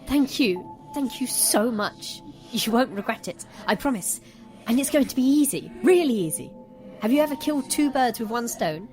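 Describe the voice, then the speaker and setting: A woman's voice: fairly high pitch, expressive, moderate pace, a little mellow, soft background music, lightly acted. A woman playing a sly, wheedling little boy who needles his sister in an audio drama. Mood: eager, sly. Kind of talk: audio fiction drama acting.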